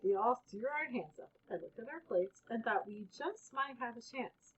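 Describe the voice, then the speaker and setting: A woman reading a children's storybook aloud in an expressive, animated way, with casual asides. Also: on the high side, moderate pace, muffled recording, a little hiss or hum. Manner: animated, acts out exclamations and characters' lines. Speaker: storybook reader.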